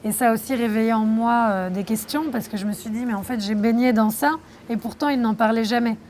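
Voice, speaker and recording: Thoughtful young Frenchwoman, slightly high voice. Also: fairly even intonation, a little fast, a little mellow, a little hiss or hum. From France. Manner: reflective, thoughtful, with frequent hesitations. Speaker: documentary filmmaker being interviewed. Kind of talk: interview answers.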